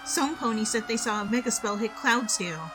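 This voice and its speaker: Woman acting a frightened pony policewoman, high voice. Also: animated, brisk, music in the background, close to an everyday voice. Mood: anxious, urgent. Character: frightened young female pony police officer. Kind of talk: dramatized fan fiction audio acting.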